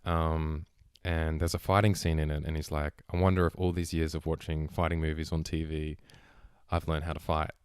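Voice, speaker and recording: A man's voice: extremely low pitch, flat delivery, moderate pace, darkish tone, smooth, dry recording, studio quality. A man hosting a podcast, chatting casually and rambling with lots of "like" and restarts. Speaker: podcast host. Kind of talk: casual chat.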